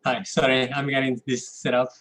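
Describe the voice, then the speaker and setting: A man's voice: medium pitch, flat delivery, normal speed, fairly bright tone. A man from Peru with a Peruvian Spanish accent, giving a casual online lecture with fillers. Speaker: guest lecturer. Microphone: video call.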